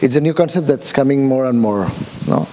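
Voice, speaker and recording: A man's voice: medium pitch, dark tone. Hesitant man speaking in a reverberant hall. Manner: halting, many restarts and fillers, asks the room questions. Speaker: workshop speaker. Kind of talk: informal workshop talk. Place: conference room. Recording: long hall reverb, faint hiss.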